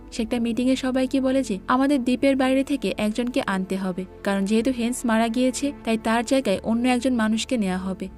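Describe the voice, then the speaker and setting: High-pitched woman narrating a story recap. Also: darkish tone. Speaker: narrator of a screen drama recap. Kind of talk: scripted story recap narration, reading characters' lines in reported speech.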